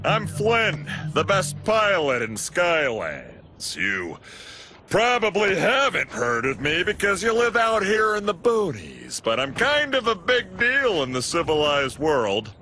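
An actor with an American accent voicing a cocky, boastful, bumbling furry pilot in a cartoon game. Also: male voice, high voice, huge pitch swings, slow, sharp tone, faint music behind, heavily acted. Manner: brash, boastful, breezy.

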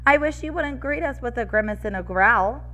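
A woman voicing chiding sea creatures from a picture book, scolding briskly in rhyme. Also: fairly high pitch, animated, sharp tone, pitched above the performer's own voice. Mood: chiding, urging. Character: chiding sea creature friends urging cheer.

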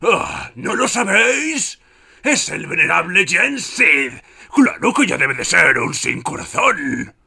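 A man voicing a blustering, bullying big villain captain in a cartoon dub, with a gravelly voice and a Castilian Spanish accent. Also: high-pitched, big pitch swings, takes it slowly, pushed and bright, heavily acted. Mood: menacing, mocking. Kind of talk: cartoon villain fandub audition.

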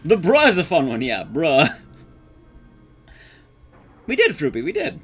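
A man's voice: on the high side, huge pitch swings, a little slow, fairly bright tone, soft background music. A man giving casual live commentary as he streams a speedrun, playful and sweary. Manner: sweary, playful, reacting to play. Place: indoor room at home. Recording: clear background noise, clicks.

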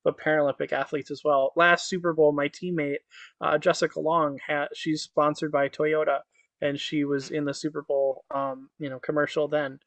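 A man's voice: a little high, level tone, normal speed, fairly bright tone, no echo, poor audio. Man, 30s, from Croatia, interview guest, upbeat, fluent, fillers, dry webcam sound. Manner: upbeat, fluent, many "um"s and "you know"s. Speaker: guest, motivational speaker. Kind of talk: casual interview chat. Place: indoor home office with virtual backdrop. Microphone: webcam on a video call.

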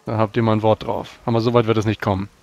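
A man's voice: lowish voice, little pitch movement, fast, a little mellow, lightly acted. A man playing a loyal, reassuring adventurer with formal speech in a tabletop roleplay. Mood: steady.

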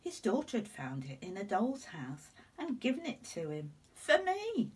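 A woman in her sixties reading a children's storybook aloud in an expressive, deliberate way. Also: medium pitch, breathy edge. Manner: deliberate, animated telling. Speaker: teacher reading a picture book to children. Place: indoors at home, seated before curtains. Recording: slightly distant room microphone.

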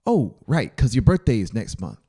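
Male narrator as twelve-year-old boy, chatty, curious, mellow, audiobook character. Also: mid-range voice, sing-song, normal speed, slightly rough. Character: casual, curious young boy cousin, about twelve.

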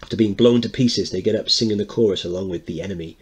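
Low, husky-voiced male teacher. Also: quick pace. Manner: rhetorical questions to listeners. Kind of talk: dramatic reading with explanatory commentary.

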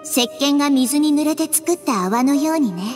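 Game role: childlike sage deity, high, calm, thoughtful delivery over clear background music. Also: female voice, moderate pace. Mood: calm, explanatory. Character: small, childlike goddess, wise, gentle, composed. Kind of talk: video game character acting.

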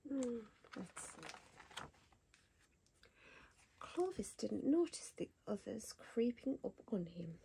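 A woman reading a bedtime picture book to a child in a soft whisper, gasping and asking the child questions. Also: medium pitch, sing-song, slow pace, creaky, long pauses, a little hiss or hum, low-quality recording. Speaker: bedtime story reader.

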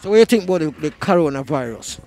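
A woman with a Jamaican accent, interviewing passersby on a city street with a handheld mic, firing off quick probing questions. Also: sits low, expressive, mellow, soft background music. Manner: quick probing questions, show sign-off catchphrases. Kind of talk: vox pop interviewing.

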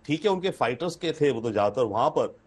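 A man's voice: medium pitch, expressive, quick pace, darkish tone, breathy edge. A man in his sixties with an Indian accent giving analytical commentary over a video call, repeating points for emphasis and mixing in English phrases. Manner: mixes English phrases into Hindi, emphatic repetition. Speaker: foreign affairs expert guest.

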